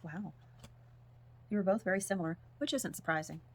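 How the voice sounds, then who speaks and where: A woman with a husky, hoarse voice doing a spiritual tarot reading as a casual monologue, speaking softly and sometimes close to a whisper. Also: fairly low pitch, fairly quick. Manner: soft-spoken, drifts into whispers at times. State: hoarse, losing her voice. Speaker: tarot reader.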